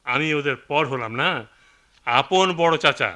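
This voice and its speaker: A man's voice: mid-range voice, lively intonation, measured pace, pushed and bright. A man acting a stern, old-fashioned, middle-aged village father in a radio drama, scolding and complaining with a Bangladeshi accent. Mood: irritated.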